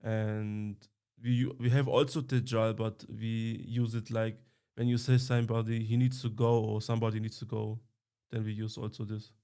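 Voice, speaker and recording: Man, podcast host, relaxed dark tone, little pitch movement, halting simple phrasing. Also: clean tone. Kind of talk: casual interview.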